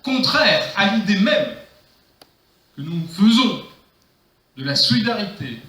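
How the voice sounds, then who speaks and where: A man's voice: high voice, big pitch swings, slow pace, sharp tone, far from the mic. A man speaking as a mayor, giving an improvised political rebuttal in an emphatic, declamatory way with rhetorical repetition. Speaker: mayor chairing the council. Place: council meeting room. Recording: echoey room, faint noise, clicks.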